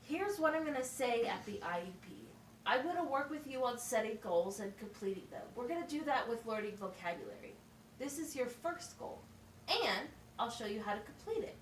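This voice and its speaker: A woman's voice: fairly high pitch, lively intonation, moderate pace, close to an everyday voice. A woman playing a patient, encouraging special education teacher at a wizard school in an amateur puppet show, explaining things simply, step by step. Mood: encouraging, instructive. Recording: echoey, distant mic with faint noise.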